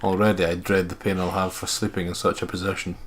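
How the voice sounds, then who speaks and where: A man's voice: low-pitched, level tone, quick pace, background noise. A man co-hosting a let's play, reading game narration aloud with sweary, joking asides. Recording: game music clearly behind the voice.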